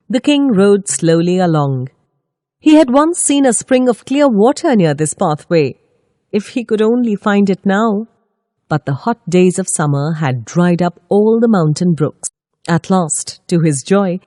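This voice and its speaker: A woman storyteller reading a scripted children's story with expressive, deliberate phrasing. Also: mid-range voice, no room sound, studio quality.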